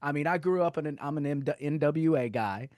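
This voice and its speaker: A middle-aged man from North Carolina with a Southern accent, a rock singer chatting loudly and laughing often as an interview guest on a video call. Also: on the high side, fairly quick, no room sound, studio quality. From North Carolina, USA. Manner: loud, animated, laughs often. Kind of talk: casual interview chat.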